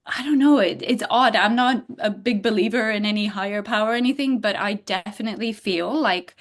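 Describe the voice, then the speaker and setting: Gravelly-voiced woman, animated guest. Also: mid-range voice. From Bulgaria. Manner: animated, frequent "like" fillers, quick laughs. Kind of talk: casual interview chat.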